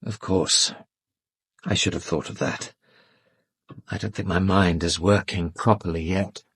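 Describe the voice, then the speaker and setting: A well-educated English gentleman in a radio drama. His voice is fairly low and noticeably husky, recorded dry. He is polite but guarded and defensive, with a weary, wry self-deprecation. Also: fairly even intonation, unhurried, dry recording, exaggerated voice. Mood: wary, defensive. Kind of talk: British radio drama acting.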